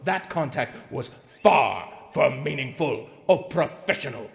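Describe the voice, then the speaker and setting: A man's voice: mid-range voice, animated, a little slow, creaky. A man voicing a pompous, outraged company executive in a theatrical dramatic reading of threatening emails. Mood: solemn formality. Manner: pompous, overwrought, swinging from hushed to shouting. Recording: long echoing hall reverb.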